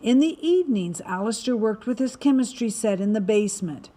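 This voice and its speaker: A woman narrating a children's story in an expressive, dramatic way, stretching words for emphasis. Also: on the high side, a little fast. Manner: animated, dramatic delivery with emphatic word stretching. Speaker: storybook reader.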